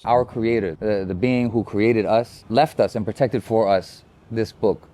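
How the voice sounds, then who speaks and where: Calm low-voiced American man. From United States. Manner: measured, thoughtful, frequent "you know" fillers. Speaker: interviewee. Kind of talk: reflective documentary interview.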